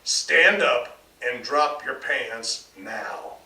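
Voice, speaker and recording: A man's voice: medium pitch, bright, forceful tone, exaggerated voice. A man voicing a stern middle-aged father with a commanding manner in a live story reading. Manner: slow, commanding. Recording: distant mic, echoey room, faint noise.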